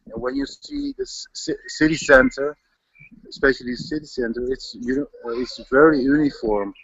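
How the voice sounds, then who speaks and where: A man from the Netherlands with a Dutch accent, answering interview questions in a halting way, often repeating words while searching for them. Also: fairly high pitch, huge pitch swings, gravelly, a bit bright, husky, no echo. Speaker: local resident. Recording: dull lo-fi audio.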